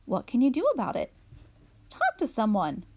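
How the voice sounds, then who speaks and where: A woman reading a children's picture book aloud in an expressive storytelling manner for young children. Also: fairly high pitch, moderate pace.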